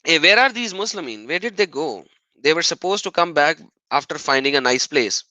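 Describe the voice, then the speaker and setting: Animated man, bright forceful voice. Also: fairly high pitch, brisk, dry recording, rough recording. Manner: mocking, argumentative, repeats phrases for emphasis. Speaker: live stream host. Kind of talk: religious debate live stream commentary.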